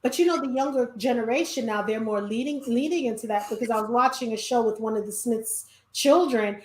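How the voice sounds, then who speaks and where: A woman's voice: a little high, a little fast, slight background noise. A middle-aged woman co-hosting a casual discussion, rambling with frequent restarts and trailing phrases. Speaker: podcast co-host. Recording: echoey room.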